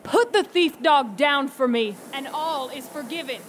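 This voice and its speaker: A woman's voice: sits high, animated, unhurried, a little hiss or hum. A woman playing an imperious female military commander in an audio drama, calling out in a commanding, coaxing way. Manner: commanding, coaxing, shouting from afar.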